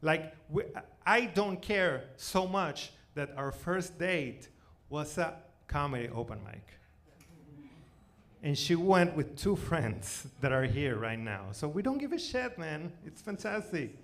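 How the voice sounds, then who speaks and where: A forty-year-old man with a Venezuelan accent and native Spanish tells stand-up stories conversationally, haltingly repeating his words. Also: a little high, very animated, fairly bright tone. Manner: halting, repeats words, punctuated with "you know" and "like". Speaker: stand-up comedian. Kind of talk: stand-up comedy, conversational storytelling. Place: comedy club stage, live performance. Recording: noisy live club recording.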